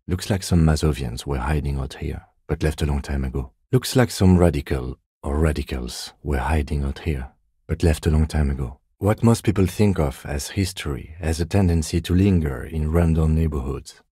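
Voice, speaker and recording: A very deep-voiced, composed police detective for a video game. He speaks in a measured, deadpan way, with a mellow, husky tone and a dry, close sound. He comes across as dutiful and restrained. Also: no echo, heavily acted. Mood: calm, dry. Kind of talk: video game dialogue acting.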